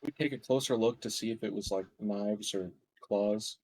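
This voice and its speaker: A man's voice: lowish voice, normal speed, darkish tone, rough recording. A man playing a tabletop game, chatting casually and making wisecracks. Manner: wisecracking, informal. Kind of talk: casual joking game chat.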